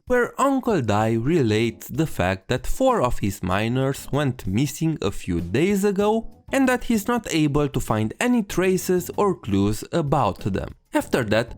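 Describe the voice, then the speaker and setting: A narrator giving an irreverent, sarcastic scripted comedic recap of a video game story. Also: medium pitch.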